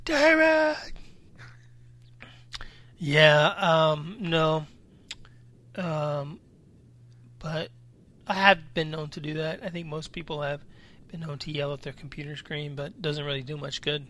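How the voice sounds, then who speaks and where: A man in a casual podcast chat with a slightly raspy voice, talking loosely and jumping between topics. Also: fairly high pitch, slow, long pauses, pushed and bright. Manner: chatty, jumps between topics. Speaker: podcast co-host. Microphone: home microphone.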